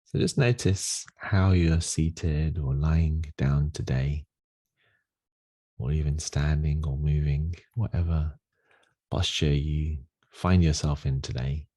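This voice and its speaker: Really deep mellow guy doing a guided meditation, gentle and slow, bit husky, super dry close sound. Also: fairly even intonation, no room sound. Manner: gentle, unhurried, soothing instruction with long pauses. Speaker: meditation teacher.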